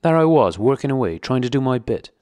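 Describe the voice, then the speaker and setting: A man's voice: mid-range voice, very animated, fairly quick, darkish tone, dry recording, studio quality, voice pushed up, close to an everyday voice. A man voicing a frightened, pudgy middle-aged prisoner who pleads eagerly and nearly blubbers.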